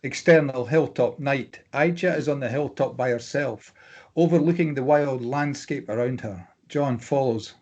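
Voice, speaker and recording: A man reading screenplay stage directions as scripted narration, plain and measured, with clipped scene headings. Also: mid-range voice, darkish tone, lo-fi sound.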